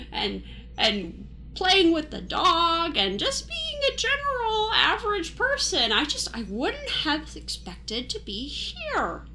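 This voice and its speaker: Woman playing high-voiced, flustered everyman Fred. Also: bright, forceful tone, slight background noise, exaggerated voice. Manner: hesitant, gasping, flustered questions. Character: bewildered, average, well-meaning newcomer Fred. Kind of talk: improvised comic radio play.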